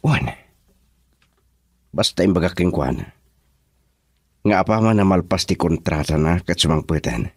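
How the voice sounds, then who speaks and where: A man playing a hushed, lovelorn young man. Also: low-pitched, lively intonation, moderate pace, long pauses, slightly rough, no echo, studio quality, heavily acted. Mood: earnest. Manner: soft, hushed, yearning delivery. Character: lovelorn young man pining for his sweetheart. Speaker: voice actor in a radio drama. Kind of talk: radio drama acting.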